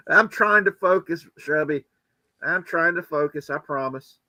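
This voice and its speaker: A man with a bright, forceful voice hosts a casual livestream in a close, dry sound. He rambles through commentary and slips in joking asides. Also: on the high side, slow pace, dry recording, poor audio. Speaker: livestream host. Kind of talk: casual chat and political commentary.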